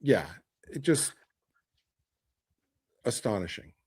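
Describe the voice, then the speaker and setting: American man, medium-pitched voice, halting, emphatic, casual podcast recollection. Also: big pitch swings, darkish tone, slightly rough. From United States. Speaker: podcast panelist. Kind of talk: casual chat, personal recollection.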